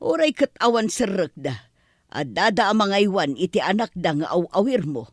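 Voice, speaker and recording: A woman's voice: mid-range voice, very animated, moderate pace, no room sound, studio quality. A woman acting exasperated, complaining women of a struggling family in a comedy drama, with an Ilocano accent. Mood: resentful.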